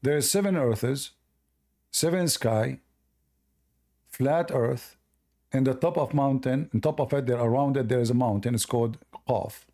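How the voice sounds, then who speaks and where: A man whose first language is Arabic, hosting a live call-in debate and arguing forcefully while reading from sources. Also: mid-range voice, unhurried, long pauses. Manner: forceful, argumentative, repeats himself. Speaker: host of a call-in debate stream.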